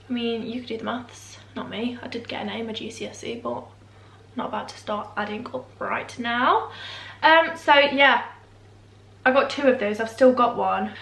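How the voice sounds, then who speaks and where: A woman's voice: fairly high pitch, vocal fry, fairly bright tone. A young woman, a beauty vlogger, chatting rambly and self-deprecating with lots of fillers, still a bit nasal from a cold. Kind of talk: casual chatty vlog. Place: room at home, indoors. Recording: echoey room.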